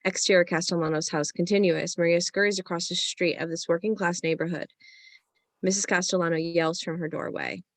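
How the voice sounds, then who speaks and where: A woman's voice: on the low side, steady tone, fairly quick, no room sound. A woman narrating the stage directions of a screenplay in a scripted reading.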